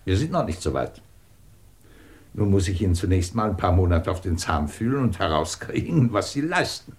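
A man's voice: deep voice, very animated, moderate pace. A man acting a middle-aged, imperious and sardonic British professor who runs a research department, in a commanding 1950s German radio drama style. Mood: wry, testing. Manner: commanding, clipped, probing, sardonic.

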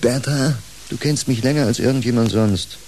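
A man's voice: mid-range voice, moderate pace, lightly acted. A male actor playing an earnest, brooding doctor in a German radio play, hesitant and reflective. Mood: earnest, searching.

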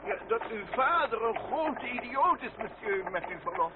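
Very noisy vintage radio drama. Loyal, fussy servant, deferential and formal, raised pitch. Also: male voice, expressive, moderate pace. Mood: fussy, hesitant. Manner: obsequious, hesitant, formal. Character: deferential, fussy, loyal manservant to French nobles. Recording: noisy, tinny old radio play recording.

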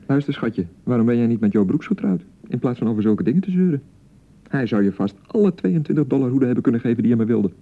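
Gravelly, placating newlywed husband. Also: male voice, medium pitch, animated, quick pace, narrow, tinny audio. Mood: exasperated. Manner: coaxing, placating, uses pet names. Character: placating, exasperated newlywed young husband on honeymoon. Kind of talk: naturalistic radio drama acting.

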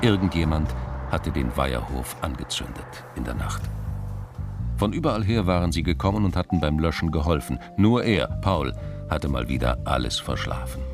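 An actor serving as a sober narrator of a radio crime drama, reading literary prose in a measured, detached way. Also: male voice, rumbling low.

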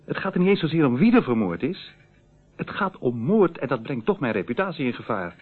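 Heard through a thin, phone-like old radio recording, an anxious male lawyer with a mid-pitched voice. He talks uneasily, as a man fretting over his good name in a radio play. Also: big pitch swings, a little fast, breathy edge, faint music behind. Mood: troubled, fretful. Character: worried, fretful male lawyer, anxious about his reputation. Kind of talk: Dutch radio drama acting.

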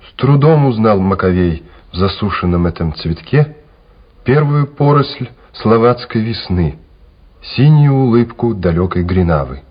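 A man's voice: on the low side, expressive, measured pace, slightly rough. A man narrating a wartime radio drama in a scripted, dramatic style. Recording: slightly dull radio recording with music. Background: music.

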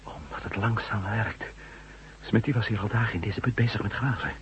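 An actor playing a wry, adventurous ex-astronaut reporter in a Dutch science-fiction radio drama, animated in his delivery. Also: lowish voice, moderate pace, close to an everyday voice. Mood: grave. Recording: dull, noisy old radio recording with hum.

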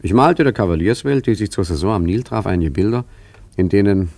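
An actor playing a wry, roguish art forger and painter in a German radio play, with a leisurely, ironic, musing way of talking. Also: male voice, lowish voice, expressive, a little fast, a little mellow, no echo. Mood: wry storytelling.

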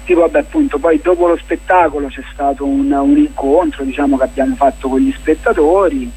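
Male interview guest, husky, higher-pitched, reflective and halting delivery. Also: expressive, a little mellow, poor audio. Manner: halting, searching for words, many fillers and restarts. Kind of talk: reflective radio interview answers.

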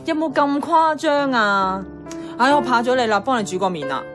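A woman's voice: fairly high pitch, animated, moderate pace, lightly acted. An actress playing a blunt, bossy young career woman in a radio drama, with a colloquial Hong Kong Cantonese way of speaking. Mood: bossy, grumbling. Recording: clear music playing behind the voice.

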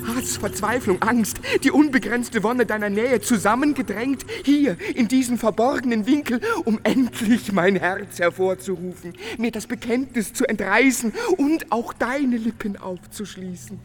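An actor playing a passionate, tormented young painter in a radio drama, with declamatory, emotional, literary delivery. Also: male voice, sits high, normal speed, few pauses, a little hiss or hum, natural voice. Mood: ardent, pleading. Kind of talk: German radio drama acting.

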